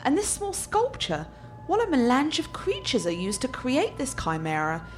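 A woman acting an intense, sweepingly expressive genteel heroine. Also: high voice, normal speed, a little hiss or hum. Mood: fascinated. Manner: theatrical, formal, genteel. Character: intense, troubled genteel girlfriend haunted by nightmares. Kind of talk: dramatic radio play acting. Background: music.

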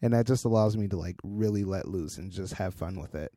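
A man with a hoarse, lower-pitched voice co-hosts a relaxed tech podcast. He talks in loose, rambling stories and laughs often. The sound is dry and close to the mic. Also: a little fast, dry recording. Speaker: podcast co-host, independent designer and developer. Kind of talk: casual chat.

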